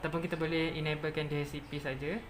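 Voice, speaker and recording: A presenter with a Malaysian accent narrating a step-by-step software tutorial, mixing in English technical terms. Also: mid-range voice, little pitch movement, fast talker, noisy background.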